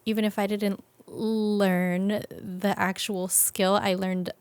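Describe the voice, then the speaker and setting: A young adult woman with a creaky voice, talking informally as an interview guest and thinking aloud with frequent "like". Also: medium pitch, little pitch movement, takes it slowly, dry recording. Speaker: interview guest, business owner. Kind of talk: casual conversational interview.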